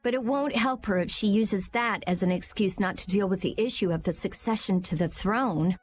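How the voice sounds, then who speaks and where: A woman voicing a blunt, skeptical teenage girl in an animated role-playing video game, dry and sarcastic. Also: medium pitch, normal speed, natural voice. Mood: critical. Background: faint background music.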